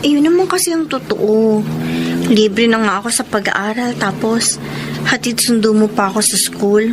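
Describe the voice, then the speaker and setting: A woman's voice: a little high, normal speed, noisy background, natural voice. A woman with a Filipino accent playing a bashful young college student torn by love, in radio romance acting. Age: young adult. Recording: music bed behind voice.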